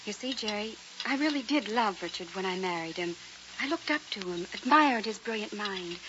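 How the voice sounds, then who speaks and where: An actress playing an anxious, affectionate wife in a vintage radio drama, with an eager, earnest, expressive delivery. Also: female voice, mid-range voice, normal speed, natural voice. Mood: anxious, confiding. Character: anxious, affectionate young wife in a haunted house. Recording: muffled hissy vintage radio recording.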